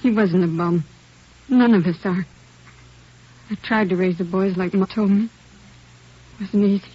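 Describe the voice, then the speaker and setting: A grieving, plain-spoken sister in a 1950s radio crime drama. Her voice is slightly rough and she speaks in short, halting phrases. The vintage recording is dull and fuzzy. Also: mid-range voice, fairly even intonation. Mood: defensive, grieving. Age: 30s. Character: bereaved, plain-spoken working-class older sister, thirty-one.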